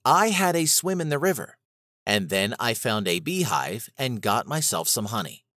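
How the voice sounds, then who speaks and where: A man reading a scripted children's story aloud as narrator, in an expressive manner. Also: mid-range voice, moderate pace, no echo, clean studio sound.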